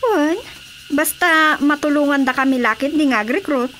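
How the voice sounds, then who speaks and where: A madam recruiter with a high voice, coaxing and businesslike. The delivery is radio drama comedy, over faint background ambience. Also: female voice, normal speed, fairly bright tone, a little hiss or hum. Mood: persuasive. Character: smooth-talking madam recruiter, persuasive and businesslike.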